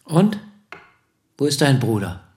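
An authoritative, middle-aged father in a radio drama. His speech is brisk, demanding and domineering, with faint noise of tableware behind it. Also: mid-range voice, big pitch swings, a little mellow. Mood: stern. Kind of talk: German radio play acting, family dialogue.

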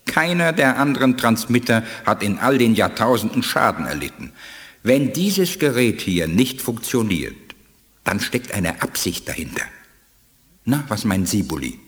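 A man's voice: on the low side, very animated, a bit bright, long reverb tail. An actor playing a cautious, formal male alien scholar in a 1980s radio play. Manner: formal, measured, hesitant. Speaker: Crest, alien scientist.

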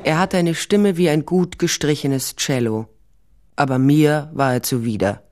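A woman's voice: low voice, measured pace, dark tone, faint music behind. Wry female investigator. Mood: dry, wry. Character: shrewd, wry female investigator telling her own case. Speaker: narrator and lead investigator. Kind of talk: radio crime drama, first-person narration and dialogue.

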